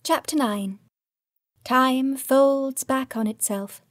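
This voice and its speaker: An actress playing a wry, knowing woman who comments deliberately in an audio drama. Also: high-pitched, dry recording, studio quality.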